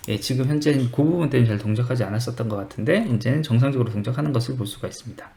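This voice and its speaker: A man's voice: mid-range voice, rapid-fire, creaky, dark tone. A man presenting a screen-recorded coding tutorial, informally thinking aloud as he explains each step.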